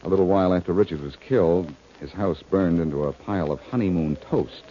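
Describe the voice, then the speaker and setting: An actor playing a wry, confident amateur detective in a 1940s radio drama, self-assured and quick with a wisecrack. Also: male voice, sits low, warm tone, natural voice. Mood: serious, confiding. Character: wry, confident amateur sleuth, adult man. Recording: dull, noisy vintage radio recording.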